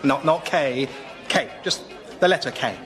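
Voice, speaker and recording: A man's voice: fairly high pitch, unhurried, a bit bright, big-room sound. A British man playing an embarrassed, defensive fellow in a radio comedy sketch, hesitant, touchy and sarcastic. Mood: awkward, reluctant. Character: embarrassed, defensive man weary of name jokes.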